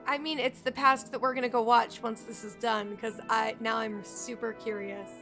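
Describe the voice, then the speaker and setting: A woman's voice: fairly high pitch, little pitch movement, moderate pace, pushed and bright. A woman doing casual, chatty live commentary as she streams a video game, reacting informally to the story. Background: game music.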